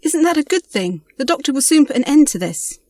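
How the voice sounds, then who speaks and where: Audio drama companion, played by a woman: young, high-pitched, animated, eager, trusting and inquisitive. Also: brisk, no echo. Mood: curious, earnest. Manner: earnest, inquisitive.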